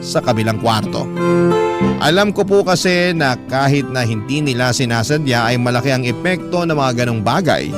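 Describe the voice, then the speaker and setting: A man's voice: medium pitch, close to an everyday voice. A man playing a caring older brother, narrating his story in an earnest, heartfelt way with a Filipino accent. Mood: reflective, concerned. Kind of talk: first-person dramatic narration of a letter. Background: prominent guitar music.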